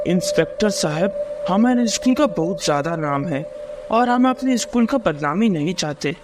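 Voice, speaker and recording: A man's voice: high voice, lively intonation, moderate pace, heavy background noise. A man playing a self-protective, dismissive male school principal in a cartoon story. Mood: placating.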